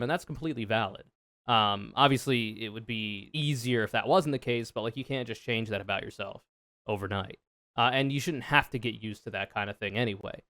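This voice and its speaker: A man with a deep, smooth voice, reacting to a video in frank, casual commentary that is full of swearing. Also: a bit bright, no room sound. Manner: frank, swears freely, thinks aloud.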